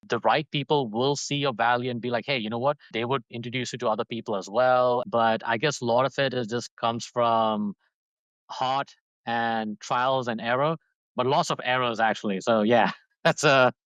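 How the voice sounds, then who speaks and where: A man's voice: mid-range voice, moderate pace, fairly bright tone, no room sound, highs cut off. A man from Dubai in his thirties, a podcast guest talking casually and rambling, with lots of fillers and restarts. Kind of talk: casual interview talk.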